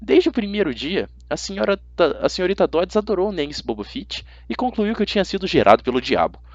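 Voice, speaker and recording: A man's voice: high voice, sing-song, a little fast, no echo, muffled recording. A man with a Brazilian accent reading a first-person story as an amateur audiobook narrator, expressively.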